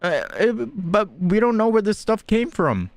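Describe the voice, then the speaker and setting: A man's voice: high voice, big pitch swings, unhurried, no echo, pitched above the performer's own voice, exaggerated voice. A man voicing a small, childlike, fretful companion sprite from a game, chatty and hesitant. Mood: nervous.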